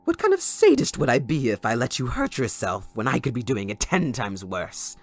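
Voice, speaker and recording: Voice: medium pitch, sing-song, normal speed. A performer voicing a gleeful, sadistic supervillain kidnapper in an audio roleplay, theatrical, mocking and possessive toward a captive listener. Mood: mock caring. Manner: theatrical, mocking, giggling, swooping between croon and shriek.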